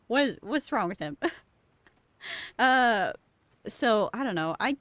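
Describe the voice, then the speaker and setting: Animated woman streamer, slow, musing delivery. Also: fairly high pitch, dry recording. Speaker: game streamer. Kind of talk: casual live gameplay commentary. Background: game music.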